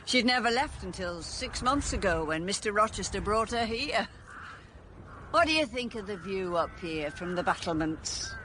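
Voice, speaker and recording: A woman's voice: mid-range voice, animated, moderate pace, sharp tone, slight background noise. An actress playing a kindly, chatty, motherly elderly housekeeper in a radio drama. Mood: musing. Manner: kindly, fussing, talkative.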